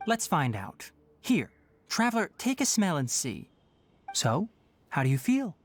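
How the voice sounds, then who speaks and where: A young man playing a composed, scholarly forest ranger in a video game, articulate, measured and matter-of-fact. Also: a little high, huge pitch swings, faint music behind, exaggerated voice. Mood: dry, matter-of-fact.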